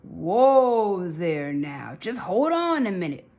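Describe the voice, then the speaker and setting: A woman's voice: mid-range voice, very animated, slow pace, darkish tone. A woman voicing a bold, defiant young cowgirl in a storybook, with a folksy, declamatory delivery. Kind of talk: storybook read-aloud character voice.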